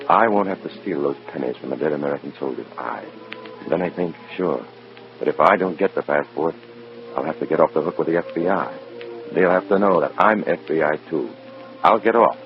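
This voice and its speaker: An actor playing a weary, conscience-torn American undercover agent, narrating in a brooding, clipped hard-boiled style of old radio drama. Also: male voice, very deep voice, gravelly, heavily acted. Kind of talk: mid-century radio drama acting, first-person narration. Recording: muffled old radio recording with music.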